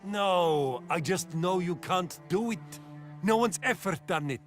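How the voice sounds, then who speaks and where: An actor playing a cocky, playful duelist in a fantasy video game, taunting in a theatrical sing-song way. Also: male voice, high voice, lively intonation, measured pace. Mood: teasing, eager. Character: cocky, playful male duelist boasting of his speed. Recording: game music behind.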